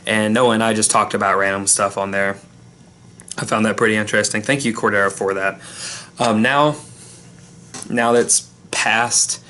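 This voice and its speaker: A man's voice: on the low side, pushed and bright, a little hiss or hum. A young man hosting his channel, giving a rambling casual recap full of ums and restarts, his voice still a little worn from losing it. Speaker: YouTube channel host.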